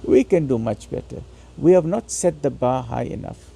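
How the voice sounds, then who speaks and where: A man with a raspy voice giving a motivational religious lecture, urging listeners emphatically and repeating phrases for stress. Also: medium pitch, lively intonation, normal speed, dark tone. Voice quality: raspy, gravelly.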